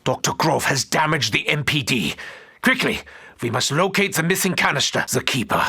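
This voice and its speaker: A man's voice: on the high side, expressive, moderate pace, gravelly, bright, forceful tone, breathy edge, dry recording, studio quality, exaggerated voice. A male actor playing a sinister, scheming German doctor in a video game, with precise, formal and theatrical delivery. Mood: urgent.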